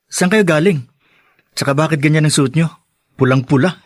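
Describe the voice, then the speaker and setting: A mild, sensible grown son played by a man in a Filipino radio drama, with a Filipino accent. His young voice sits a little high, earnest and gently chiding, in a dry studio sound. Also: moderate pace, dry recording, lightly acted. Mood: concerned, chiding. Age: young adult.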